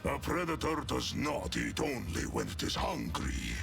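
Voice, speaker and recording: An actor playing a proud, wild caged space warrior in a video game, growling deliberately with a boastful, menacing manner through a modulated voice. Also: male voice, wildly swooping pitch, fairly bright tone, faint music behind, full character voice. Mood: grim. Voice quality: deep, gravelly growl. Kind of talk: video game character acting.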